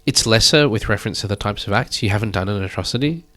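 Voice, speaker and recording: Low-voiced, measured man. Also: fairly bright tone, no room sound. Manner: thoughtful, measured, drawn-out phrasing. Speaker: guest artist. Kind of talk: reflective conversation.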